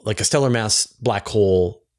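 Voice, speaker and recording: A man's voice: fairly low pitch, normal speed. A man hosting a science interview, talking in a chatty, enthusiastic and wordy conversational way. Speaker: interview host, science journalist.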